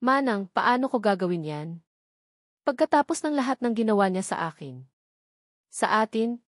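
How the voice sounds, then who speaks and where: A woman's voice: fairly high pitch, very animated, moderate pace, no echo, clean studio sound. A woman with a Filipino accent voicing a hurt, guarded doctor and mother in a dramatic story. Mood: torn.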